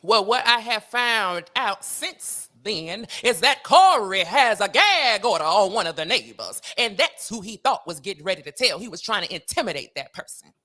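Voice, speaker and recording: Brash, bright-voiced woman from Los Angeles. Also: medium pitch, big pitch swings, moderate pace, few pauses. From Los Angeles, United States. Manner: brash, sassy, emphatic, punctuates with "okay?". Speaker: interview guest, gossip streamer. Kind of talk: gossipy storytelling.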